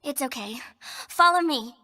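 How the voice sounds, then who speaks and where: A young female engineer in a video game cutscene. She is teasing and friendly, with a bright, high voice that swings widely in pitch. Her delivery is confident and upbeat. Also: animated, moderate pace. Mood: playful, friendly.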